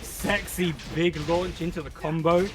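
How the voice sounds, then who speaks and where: A man with a deep, low cadence doing excitable, jokey live commentary on a fighting-game tournament. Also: measured pace, over loud music. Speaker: esports commentator.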